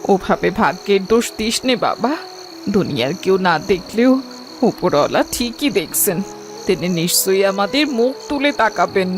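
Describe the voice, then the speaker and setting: A woman's voice: mid-range voice, lively intonation, normal speed, soft background music, lightly acted. A woman voicing a tender, careworn poor village mother in a cartoon, soothing and sorrowful. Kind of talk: melodramatic cartoon dubbing.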